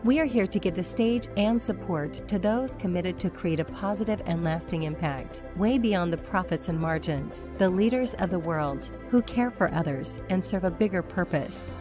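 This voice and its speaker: A woman's voice: fairly low pitch, expressive, normal speed, few pauses. Woman announcer over music. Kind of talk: scripted show intro.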